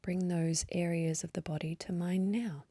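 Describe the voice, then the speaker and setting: A woman leading a guided meditation in a soft, breathy voice, gently and unhurriedly, with long pauses. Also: lowish voice, fairly even intonation, no room sound. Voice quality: soft, breathy, partly whispery. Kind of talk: guided meditation, slow calm instruction.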